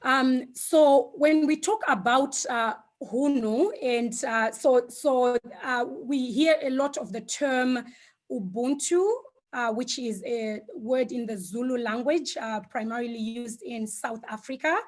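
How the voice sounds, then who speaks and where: A woman whose first language is Shona, giving an online talk over a video call, with frequent fillers and gentle hesitations. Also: fairly high pitch, slow pace. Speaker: guest speaker.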